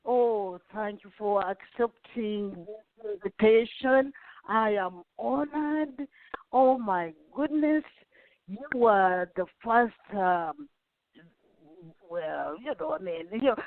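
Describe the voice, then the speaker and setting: A woman hosting an interview, effusive and gushing, with halting phrasing. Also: fairly high pitch, no room sound. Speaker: interviewer, host. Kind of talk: interview over a call. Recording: dry tinny phone-like line.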